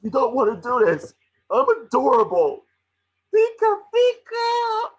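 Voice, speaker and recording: A man voicing a small wisecracking Pikachu detective, excitable, sarcastic and fretful, in an amateur table reading. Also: very high-pitched, measured pace, dull audio, full character voice. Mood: nervous, pleading.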